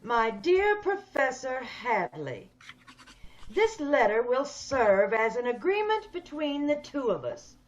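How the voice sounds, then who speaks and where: A woman playing a sinister, hideous schemer in an old-time radio horror play, speaking with measured, coolly polite menace. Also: sits high, big pitch swings, exaggerated voice. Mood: controlled, calculating. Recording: dull video-call radio play recording.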